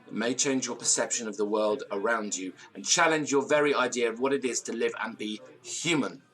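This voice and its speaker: An actor playing a nervous, theatrical, disgraced gentleman in an audio drama, with florid old-fashioned diction and a tendency to stammer. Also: medium pitch, brisk, soft background music, natural voice, rough recording. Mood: grave, storytelling. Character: nervous, theatrical, hard-drinking disgraced university gentleman storyteller.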